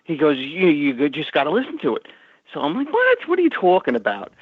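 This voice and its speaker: A man's voice: a little high, wildly swooping pitch, a little fast, slightly rough, no room sound. A middle-aged man with a Long Island accent, calling in to a show, easygoing and full of fillers. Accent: Long Island New York. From Long Island, New York. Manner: easygoing, many fillers, repeats words. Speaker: caller. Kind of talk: phone call-in chat. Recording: tinny phone line.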